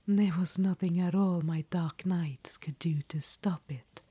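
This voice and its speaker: A woman's voice: on the low side, fairly even intonation, a little slow, mellow. A woman voicing an ancient, vain undead witch-queen in an audio roleplay, whispering and calling the listener darling. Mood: languid, conspiratorial. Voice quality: breathy whisper.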